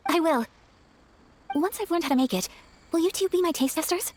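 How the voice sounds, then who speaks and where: A woman voicing a gracious young noblewoman in a video game, speaking politely and gently with formal, earnest phrasing. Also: extremely high pitch, brisk, slight background noise. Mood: polite, pleased.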